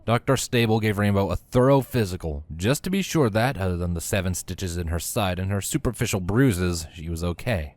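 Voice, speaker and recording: A man's voice: low-pitched, expressive, a little fast, few pauses, darkish tone, no room sound. A man reading scripted fiction aloud as a narrator.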